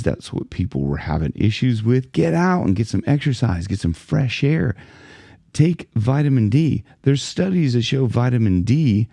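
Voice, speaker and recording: A man's voice: fairly low pitch, vocal fry, warm tone, no echo. A man who coaches singers, talking casually to camera in a rambling way with many restarts. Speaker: vocal coach.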